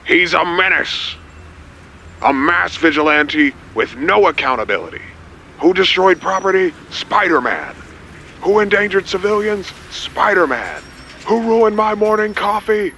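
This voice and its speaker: A scowling male newspaper boss rants in a superhero audio drama. His voice is bright and forceful, swinging widely as he fires off indignant rhetorical questions. There is faint noise behind him. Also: high voice, animated, measured pace, voice pushed up, full character voice. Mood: indignant outrage. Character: scowling, ranting, accusatory male newspaper tycoon on TV.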